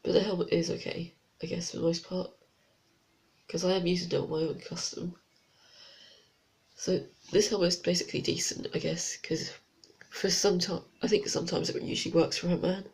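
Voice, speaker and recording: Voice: mid-range voice, little pitch movement, normal speed, a little mellow. A reviewer with a blocked, congested nose, chatting casually through a toy set review with unsure, rambling asides. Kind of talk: casual product review vlog.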